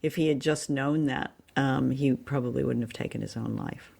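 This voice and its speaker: A woman co-hosting a podcast, telling things informally in a halting, conversational way. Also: a bass voice, creaky, dark tone, husky. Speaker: podcast co-host. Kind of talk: casual chat, informal storytelling.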